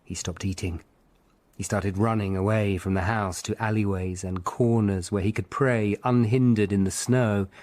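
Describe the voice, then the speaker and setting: An actor playing a grave, observant Tudor lawyer who narrates his own story in measured, literate phrases for a radio drama. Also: sits low, darkish tone, no echo. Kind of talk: scripted first-person radio drama narration.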